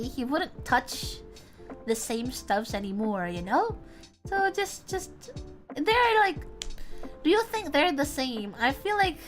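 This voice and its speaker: High-voiced Indonesian woman streamer. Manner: chatty, reads viewer comments aloud, thinks out loud. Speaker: VTuber streamer. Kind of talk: casual livestream chat.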